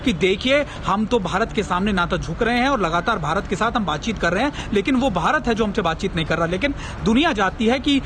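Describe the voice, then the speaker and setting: A man's voice: high-pitched, lively intonation, a little fast, few pauses. A man with an Indian accent giving live news analysis as a reporter, insistently, in long run-on sentences. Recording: outdoor traffic noise behind voice.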